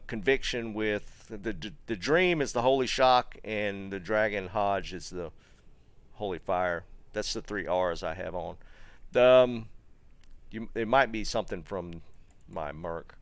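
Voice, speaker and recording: This is a middle-aged man with a Southern American drawl, speaking in a fairly low voice. He chats loosely with his viewers while he plays, drifting between topics and stumbling over restarts and fillers. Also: measured pace, clean tone, no echo. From North Carolina, USA. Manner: relaxed, rambling, many fillers and restarts. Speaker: game streamer. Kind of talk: casual live-stream chat reading viewer comments.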